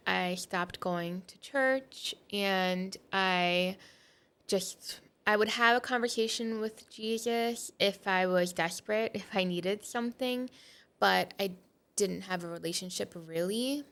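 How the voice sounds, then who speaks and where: A woman's voice: on the low side, fairly bright tone, clear, resonant tone. Candid woman sharing her faith story. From Portugal. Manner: candid and reflective, with frequent "um" and "like". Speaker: podcast guest. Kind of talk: personal testimony in conversation.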